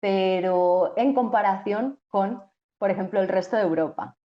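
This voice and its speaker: A woman's voice: mid-range voice, unhurried, highs cut off. A young woman from Spain with a Spanish accent, a journalist answering interview questions thoughtfully, with frequent restarts and fillers. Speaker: TV journalist and co-host.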